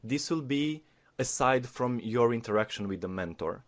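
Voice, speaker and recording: A man's voice: medium pitch, animated, normal speed. A man speaking as a podcast guest, explaining things in a casual way and addressing the listeners directly. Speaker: podcast guest, former mentee and coordinator.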